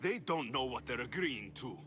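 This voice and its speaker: An actor playing a sardonic ancient Greek warrior in a video game, blunt, dry and challenging. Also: male voice, medium pitch, expressive, normal speed, background noise, natural voice. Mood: defiant, sardonic. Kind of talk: dramatic video game cutscene acting. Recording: music behind the voice.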